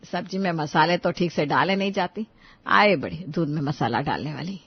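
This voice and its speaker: A woman's voice: deep voice, lively intonation, normal speed, slightly rough, highs cut off, full character voice. Woman acting as sarcastic, grumbling cartoon mother-in-law. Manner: scolding, sarcastic. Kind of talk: animated moral-story dialogue.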